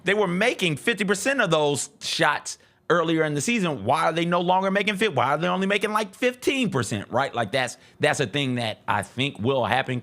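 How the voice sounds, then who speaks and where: A man's voice: medium pitch, fairly bright tone. A man giving casual, emphatic sports commentary as a talk show co-host, repeating points for stress.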